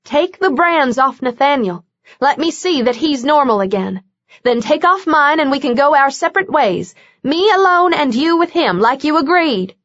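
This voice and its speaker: A woman's voice: high voice, animated, normal speed, fairly bright tone, no room sound, pitched above the performer's own voice. A woman voicing a bold young heroine who speaks firmly and demandingly in an audiobook. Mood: defiant. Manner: firm, demanding. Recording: dull lo-fi dry audio.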